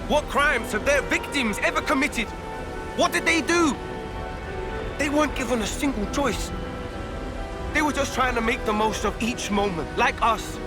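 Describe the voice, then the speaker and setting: A man's voice: extremely high pitch, normal speed, long pauses. An actor with a British accent playing an earnest, hot-blooded young man in a dramatic video game scene, blunt and colloquial. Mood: indignant. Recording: voice over loud music.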